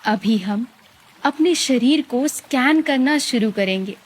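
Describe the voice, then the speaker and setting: Female meditation guide. Slightly high register, markedly unhurried, calm and gently instructive with lots of space between phrases. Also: slightly rough.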